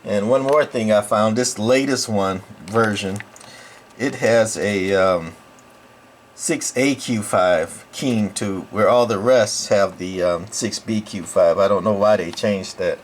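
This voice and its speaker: A man presenting an informal hands-on technical demo in a halting, folksy, explanatory way, recorded indoors on a camera microphone. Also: on the low side. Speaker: channel host. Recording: clear background noise, room ambience.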